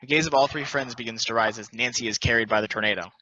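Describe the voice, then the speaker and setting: A man's voice: medium pitch, fast, sharp tone, dull audio. A man reading stage directions as scripted narration for a play table read.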